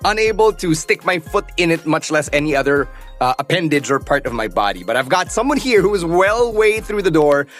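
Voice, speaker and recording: A man's voice: fairly high pitch, very animated, brisk, a bit bright, soft background music. A man with a Filipino accent hosting an interview podcast, articulate and conversational. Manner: articulate, conversational, switches into Tagalog. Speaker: podcast host.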